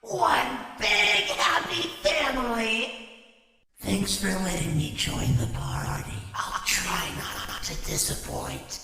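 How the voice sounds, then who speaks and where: A woman's voice: medium pitch, lively intonation, takes it slowly, creaky, sharp tone, exaggerated voice. A woman playing a creepy, falsely sweet and sly animatronic in a video game, with theatrical delivery. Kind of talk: video game character voice lines. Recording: very echoey hall.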